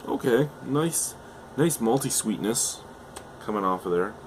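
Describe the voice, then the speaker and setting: Low-voiced man losing his voice. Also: expressive, unhurried, a little hiss or hum. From Canada. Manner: chatty, hesitant, with frequent fillers and restarts. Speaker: beer reviewer. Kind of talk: casual beer review vlog.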